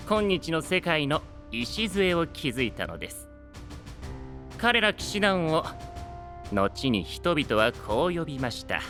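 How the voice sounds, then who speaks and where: A theatrical knight storyteller in an anime audio drama, bright and lively in pitch. He speaks with polite, teasing grandeur. The voice rings in a long reverb over music. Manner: polite speech, playful and grandiose.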